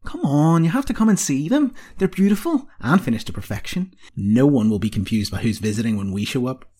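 A man voicing a cheeky, excitable nineteen-year-old female apprentice with a pert, eager, girlish manner. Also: medium pitch, normal speed, gravelly, slightly rough, exaggerated voice. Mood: exasperated, excited. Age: young adult.

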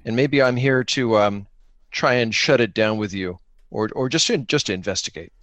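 A man's voice: fairly low pitch, normal speed, fairly bright tone, dry recording. A man hosting an improvised tabletop roleplaying game in casual chat, thinking aloud and posing questions that he then answers. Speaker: host and player of an improvised solo-engine tabletop game.

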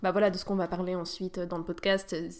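A native French-speaking woman co-hosting a podcast, talking hesitantly with many fillers and letting her phrases trail off softly. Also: lowish voice, little pitch movement, fast. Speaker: podcast co-host. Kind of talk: casual podcast chat.

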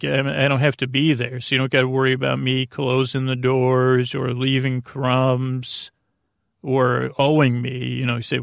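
Man, mid-pitched, gravelly fry, dry sound, rambling sleep-podcast monologue, halting, tangent-prone. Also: no room sound. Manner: meandering, halting, self-interrupting with tangents. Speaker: podcast host. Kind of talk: rambling bedtime monologue to help listeners sleep.

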